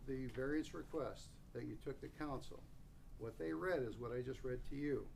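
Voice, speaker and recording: A man's voice: mid-range voice, normal speed, gravelly, darkish tone. A man chairing a formal public meeting, speaking in an insistent, argumentative way. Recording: noisy public meeting recording.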